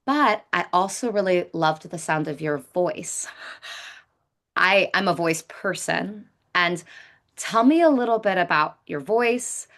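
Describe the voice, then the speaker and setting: The woman host of a chatty interview podcast, crisp-edged and bright, informally thinking aloud as she frames her questions. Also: on the low side, animated, slow pace. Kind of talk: casual conversational interview.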